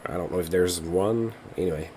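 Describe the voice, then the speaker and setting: A man with a somewhat low voice hosts a relaxed let's play, reading through results and weighing choices out loud. English is not his first language, and he talks in an easygoing, unhurried way. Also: a little hiss or hum. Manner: thinks aloud while reading game results. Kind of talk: casual gameplay commentary.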